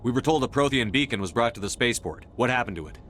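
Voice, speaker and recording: Male actor as military commander, mid-pitched, direct, no-nonsense, video game, noisy background. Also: lively intonation, fast talker, fairly bright tone, close to an everyday voice. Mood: stern questioning.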